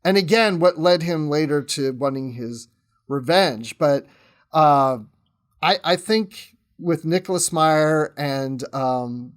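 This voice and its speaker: A man in a casual podcast discussion, his voice sitting a touch higher than most men's. He speaks conversationally, working out his points aloud as a guest in the chat. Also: takes it slowly, smooth.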